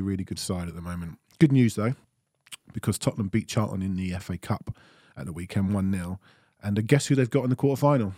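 A man with a low, mellow voice hosts a casual fan podcast. He talks in an easygoing way and laughs often. His voice sits close on a dry mic. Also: breathy edge, no room sound. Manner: easygoing, laughs often, runs through news briskly. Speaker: podcast host.

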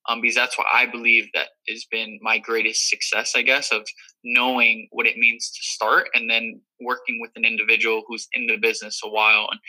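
A man's voice: mid-range voice, bright, forceful tone, rough recording. A young man from Miami, a guest in an interview, talking earnestly and reflectively with many fillers. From Miami, Florida. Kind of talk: casual interview talk.

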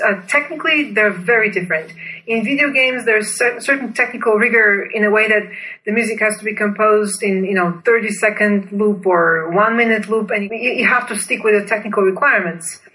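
A woman from Bulgaria, native in Bulgarian, speaking as an interview guest. Her voice is bright and medium in pitch, and she explains things with earnest, deliberate enthusiasm. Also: low-quality recording. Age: 30s or older.

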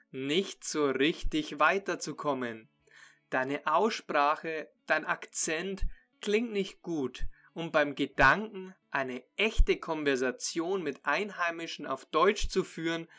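A man who teaches German online talks slowly and deliberately, laying out a course sale straight to the viewer. His voice is bright and clear, sitting a bit high for a man. Soft instrumental music plays under him. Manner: slow, deliberate, addresses the listener directly. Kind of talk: promotional sales pitch to learners.

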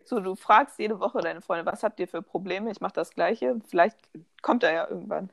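A woman's voice: medium pitch, normal speed, gravelly, breathy edge, dry recording. Playful young bilingual woman. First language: bilingual German and English. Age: young adult. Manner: playful, mixes English phrases into German. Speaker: podcast co-host. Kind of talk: casual banter.

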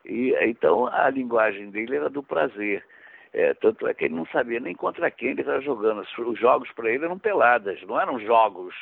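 Gravelly man on tinny radio. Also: mid-range voice, lively intonation, fairly quick, no echo. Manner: rambling, restarts often, swears casually. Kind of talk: casual storytelling chat.

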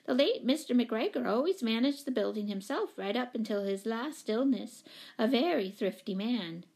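A woman's voice: on the high side, animated, normal speed, darkish tone. A woman voicing a fussy, anxious, chatty storybook lady who rambles apologetically and eagerly. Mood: flustered, eager.

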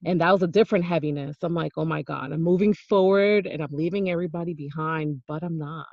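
Low gravelly woman, dull dry sound. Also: fast, dry recording. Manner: earnest, affirming, laughs along. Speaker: guest. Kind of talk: casual conversational interview.

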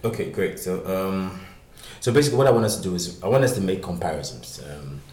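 A man's voice: deep voice, animated, quick pace, a little mellow, echoey room. A man hosting a casual podcast interview, prompting his guests with short replies and laughing easily. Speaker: podcast host.

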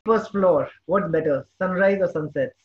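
A man's voice: high voice, moderate pace, muffled recording. A man hosting a casual chat interview, often chiming in with short words of agreement. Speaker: podcast host.

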